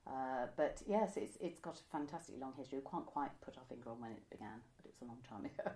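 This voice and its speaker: A woman's voice: a bass voice, animated, quick pace, dark tone. A woman, reminiscing conversationally as an interviewee. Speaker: interviewee, pantomime costume maker. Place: indoors, room by a window.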